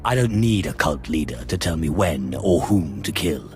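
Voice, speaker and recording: An actor playing a scornful, gruff warrior in a video game, curt and dismissive. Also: male voice, a bass voice, lively intonation, moderate pace, soft background music. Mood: contemptuous. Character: scornful, gruff male warrior, defiant and sardonic. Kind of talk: scripted video game dialogue.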